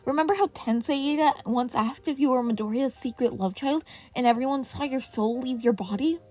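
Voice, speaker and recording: A woman's voice: high voice, a little fast, darkish tone, soft background music, pitched above the performer's own voice, close to an everyday voice. Casting: gossipy, jumpy male teacher for story podcast, conspiratorial tone a woman plays him. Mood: teasing. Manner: hissing, conspiratorial. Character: gossipy, easily alarmed male hero teacher. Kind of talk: audiobook-style fan fiction character reading.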